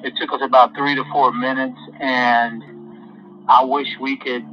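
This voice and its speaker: A man's voice: mid-range voice, little pitch movement, measured pace, a little hiss or hum. A man in his fifties giving an upbeat, friendly account of voting. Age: 50s. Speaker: voter interviewee. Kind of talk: recorded testimonial. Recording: slightly muffled recording.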